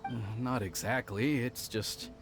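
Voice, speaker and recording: A man's voice: mid-range voice, measured pace, over music, close to an everyday voice. A man playing an anxious, polite townsman in a video game, speaking hesitantly and apologetically. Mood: worried. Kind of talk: video game character dialogue.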